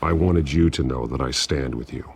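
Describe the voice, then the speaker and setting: An actor playing a grim, resolute masked vigilante hero in a video game, speaking tersely and solemnly. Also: male voice, rumbling low, normal speed, breathy edge. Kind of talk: video game dramatic acting.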